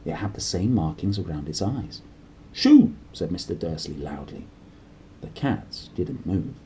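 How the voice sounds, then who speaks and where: A man reading a story aloud as scripted narration, in a theatrical, expressive manner. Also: low-pitched, normal speed, slight background noise, poor audio. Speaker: audiobook reader.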